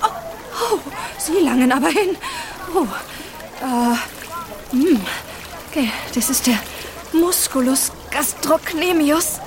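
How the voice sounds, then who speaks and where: Radio drama role: educated, self-possessed professor, coquettish and lecturing, higher-pitched voice. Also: female voice, animated, takes it slowly, long pauses, background noise, full character voice. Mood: flustered, flirtatious. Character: self-assured, flirtatious woman professor, an educated spa-town guest.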